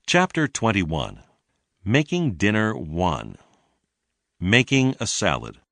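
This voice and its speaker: A man narrates an English lesson in a very low voice. He reads slowly and deliberately, enunciating each sentence for learners. His intonation moves up and down in a lively way. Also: no echo. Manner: deliberate, clearly enunciated teaching delivery. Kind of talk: scripted read-along narration.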